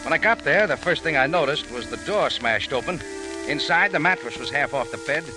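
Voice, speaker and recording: An actor playing a tough, wry American adventurer in old radio detective style, with a clipped, casual hard-boiled delivery. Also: male voice, fairly high pitch, lively intonation, brisk, lightly acted. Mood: hard-boiled narrating. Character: tough, wry American adventurer hero narrating his case. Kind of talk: 1940s-50s radio detective acting. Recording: old radio recording with music.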